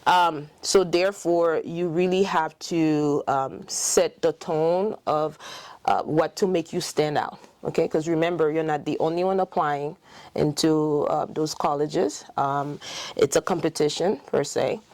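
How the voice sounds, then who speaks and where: A woman's voice: sits low, steady tone, measured pace, a little mellow, no room sound. A middle-aged Haitian woman whose first language is French, speaking in a Haitian French accent as a dentist and clinic owner in a casual interview, telling her story with many fillers and restarts.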